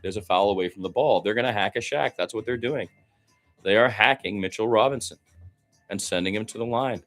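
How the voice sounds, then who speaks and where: A man's voice: on the low side, a little fast, fairly bright tone, faint music behind. Man calling live basketball play-by-play. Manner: detailed running description with short exclamations and opinionated asides. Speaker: radio play-by-play announcer.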